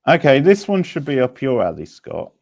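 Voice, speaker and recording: A man hosting a casual video game quiz, reading out options and answers in a deliberate way. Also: medium pitch, huge pitch swings, creaky, darkish tone. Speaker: quiz host.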